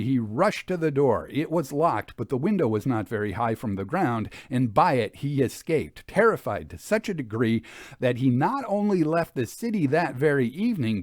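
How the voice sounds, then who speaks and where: A man giving a formal, measured and expressive storytelling read of an old Victorian ghost account. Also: mid-range voice, no echo, clean studio sound. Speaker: audiobook reader. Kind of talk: dramatic scripted narration of a Victorian ghost account.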